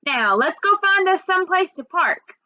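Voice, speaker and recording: Woman voicing a high, snappish, suspicious mama. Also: lively intonation, a little slow, no echo, lo-fi sound. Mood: scolding. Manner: snappish, folksy. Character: sharp, suspicious Southern mother of two kids.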